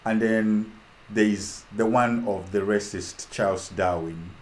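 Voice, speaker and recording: Fervent low-voiced man from Uganda. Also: fairly even intonation, slow pace. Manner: fervent, rhetorical, repeats phrases, trails off with ums. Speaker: host. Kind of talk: casual talk to an online audience.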